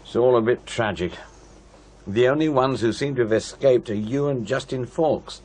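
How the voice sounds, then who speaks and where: An actor playing a sober, sympathetic investigator, probing in a reserved way, in a radio drama. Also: male voice, medium pitch, normal speed, darkish tone, lightly acted. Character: sober, sympathetic male investigator asking questions. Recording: noisy radio play scene with ambience.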